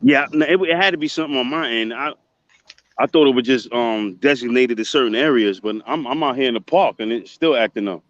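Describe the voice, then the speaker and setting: A man's voice: medium pitch, brisk, dry recording. Man chatting casually, dry muffled audio. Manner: encouraging, repeats phrases, often ends on "man". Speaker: livestream guest. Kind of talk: casual chat. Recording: muffled, dull call audio.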